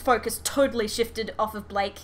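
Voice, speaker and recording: A woman's voice: mid-range voice, normal speed. A woman podcast host chatting casually and animatedly about a fandom, swearing freely. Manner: animated, sweary, giggly retelling. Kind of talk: casual fan podcast chat. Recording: clear background noise.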